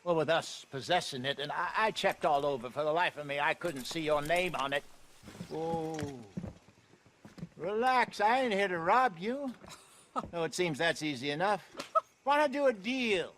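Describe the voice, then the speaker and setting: An actor playing a theatrical, smooth-talking American outlaw con man, florid and wheedling, in a western video game. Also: a little high, expressive, moderate pace, fairly bright tone, a little hiss or hum. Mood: sly, bargaining. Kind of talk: video game cutscene acting. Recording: music behind the voice.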